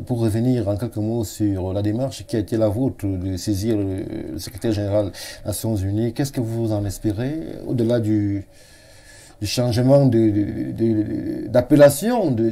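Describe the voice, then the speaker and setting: A man hosting a TV studio interview, speaking in formal, elaborate phrases with filler pauses. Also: on the low side, warm tone. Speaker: TV talk show host. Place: TV studio set.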